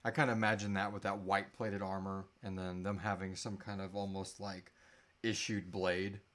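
A man in his thirties with a fairly low voice hosts a relaxed live Q&A. He speaks in a halting way, letting thoughts trail off before picking them up again. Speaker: host answering audience questions. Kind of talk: casual live Q&A chat. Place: small indoor room.

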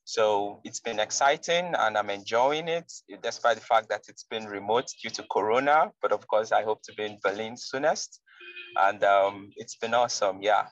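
A man from Nigeria with a low voice speaks in a relaxed, measured way from inside a car. The sound is dry and close, and the call audio is dull. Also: dry recording. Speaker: software engineering student. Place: car in traffic.

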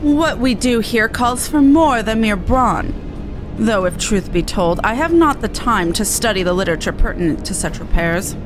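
A woman's voice: mid-range voice, lively intonation, moderate pace, music in the background, close to an everyday voice, low-quality recording. A woman reading game dialogue as a haughty, wordy male scholar with formal, sarcastic airs. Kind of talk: game dialogue read aloud in character.

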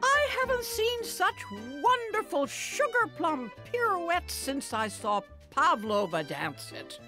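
A woman's voice: very high voice, very animated, takes it slowly, bright, forceful tone, exaggerated voice. A woman voicing a jolly, doting old grandmother bunny in a children's cartoon, with a playful, theatrical, gushing manner. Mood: delighted, praising. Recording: music bed behind dialogue.

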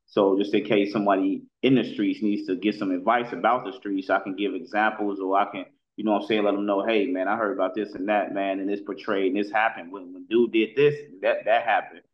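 A man's voice: on the low side, steady tone, a little fast, hardly any pauses, reverberant. A man, sounding middle-aged, giving casual street commentary in slang, repeating himself and often checking that the listener follows. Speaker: commentator on street and rap news. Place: indoor room, video call. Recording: dull, muffled call audio.

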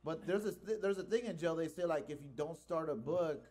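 A man's voice: on the high side, a little fast, a little mellow. A man in his early thirties chatting casually on a podcast, swearing freely and using a lot of slang. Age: early 30s. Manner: profane, slangy. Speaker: podcast host. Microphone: close podcast microphone with headphones.

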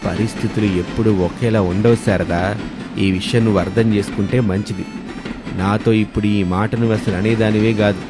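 A man voicing a patient, reasoning middle-aged father-in-law in an animated moral story, gently chiding his wife. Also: fairly low pitch, normal speed, over music, close to an everyday voice.

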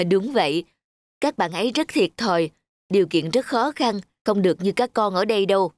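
A woman voicing a kind, soft-spoken, understanding young mother in a cartoon. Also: medium pitch, lively intonation, normal speed, no room sound, lightly acted. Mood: warm. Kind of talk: cartoon dubbing.

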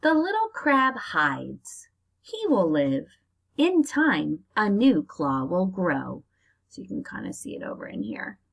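Casting: American woman, primary teacher doing a children's read-aloud, unhurried, with swooping intonation and some fry. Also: medium pitch. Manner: slow, deliberate, expressive reading for young children. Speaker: elementary school teacher reading aloud to pupils.

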